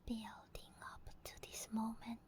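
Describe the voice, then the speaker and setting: Girl doing a creepy sleep paralysis demon lady for asmr, breathy whispering, real slow, possessive and teasing. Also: a little high, completely flat delivery, darkish tone, lightly acted. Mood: obsessive, intimate.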